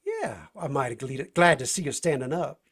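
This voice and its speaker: Casting: playful, tough Southern sidekick, folksy drawl, rough husky tone, hugely animated pitch, vintage radio style. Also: male voice, moderate pace, exaggerated voice. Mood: cocky teasing. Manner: drawling folksy slang with chuckles. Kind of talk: vintage radio drama acting.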